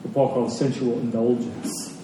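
A man's voice: mid-range voice, level tone, unhurried. A man preaching a sermon, earnest and emphatic, repeating phrases for stress. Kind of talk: sermon with personal anecdotes and scripture reading. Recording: very echoey hall, distant mic, faint noise.

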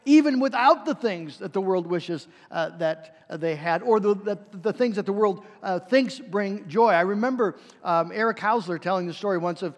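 A man's voice: high-pitched, moderate pace, few pauses. A man preaching a sermon in a conversational way, with frequent fillers and restarts. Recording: reverberant hall.

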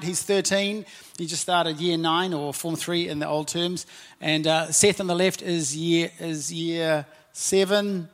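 A man's voice: fairly high pitch, fairly bright tone. A man in his forties with a New Zealand accent, preaching as a pastor in a conversational way, full of "and, uh" fillers. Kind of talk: sermon. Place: church auditorium.

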